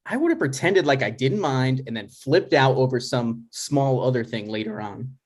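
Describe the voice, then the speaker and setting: A man's voice: medium pitch, animated, moderate pace, close to an everyday voice. A man playing a neurotic, touchy son in his thirties, argumentative and dry, in a scripted comedy table read. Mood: anxious, dry. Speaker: writer and actor in a table read.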